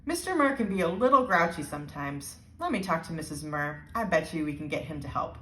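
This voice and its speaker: A woman's voice: sits low, expressive, brisk, a bit bright. A woman voicing a caring old island grandmother in a storybook, speaking in a reassuring way. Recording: roomy, echoing indoor sound.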